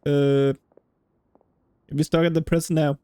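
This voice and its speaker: A woman's voice: low-pitched, level tone, slow, dark tone, clean tone, dry recording. A woman casually reacting as she plays a game on stream, close to the mic. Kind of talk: casual reactions over gameplay.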